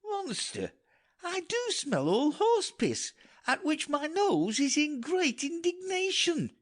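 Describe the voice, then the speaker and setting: A man's voice: very high-pitched, huge pitch swings, takes it slowly, exaggerated voice. A man voicing a silly, whining jester with exaggerated singsong clowning in a dramatic Shakespearean audiobook. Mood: whining, indignant. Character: silly, whining, high-voiced male jester.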